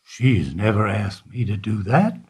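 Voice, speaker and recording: A man playing a world-weary small-town bank employee in a radio play, careful and noncommittal, with a husky voice. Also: on the low side, expressive, measured pace, full character voice. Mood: guarded, evasive.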